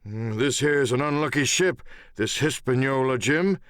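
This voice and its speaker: A man voicing a sly, wounded old pirate coxswain in an audiobook, with wheedling, halting, talk. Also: medium pitch, a bit bright, no echo, studio quality, natural voice. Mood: grim, wheedling.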